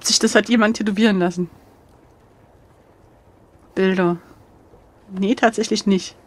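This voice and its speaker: A woman's voice: medium pitch, steady tone, measured pace. A woman playing through a gamebook, chatting casually in a joking way with frequent exclamations. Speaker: player in a gamebook playthrough. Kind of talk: casual playful chat.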